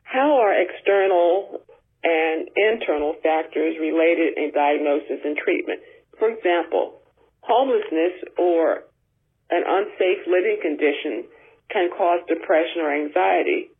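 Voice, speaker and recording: A woman caller leaving a measured, earnest voicemail question. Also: fairly low pitch. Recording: husky tinny phone voicemail.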